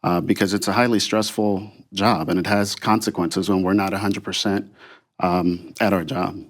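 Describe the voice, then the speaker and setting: A man speaking as an air traffic controller and union official, giving halting, earnest, unscripted answers in an interview. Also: low-pitched, flat delivery.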